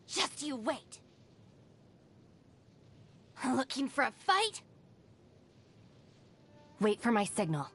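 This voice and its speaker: A woman's voice: very high-pitched, sharp tone, exaggerated voice. An actress voicing a determined, commanding young woman fighter in an anime video game. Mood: humiliated. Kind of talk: video game character voice lines.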